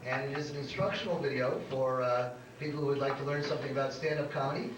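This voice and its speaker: A man's voice: medium pitch, fairly even intonation, quick pace, background noise. A middle-aged man hosting a comedy show, rambling and joking as he introduces it informally to a live audience. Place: comedy club stage. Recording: echoey club recording from far off. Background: audience responses.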